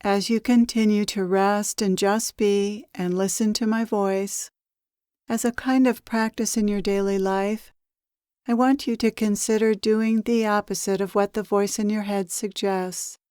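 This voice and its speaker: Slow, smooth-voiced woman guiding meditation. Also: medium pitch, fairly even intonation, no echo, clean studio sound. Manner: soothing, measured, gently instructive with pauses. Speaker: meditation guide, spiritual channeler. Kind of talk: guided meditation, channeled spiritual teaching.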